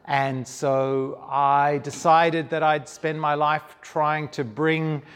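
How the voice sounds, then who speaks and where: Male guest speaker from Zambia, wry and self-mocking, slightly higher voice, long hall reverb. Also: flat delivery, slow, fairly bright tone, clear, resonant tone. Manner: humorous, self-deprecating. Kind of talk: onstage interview, storytelling.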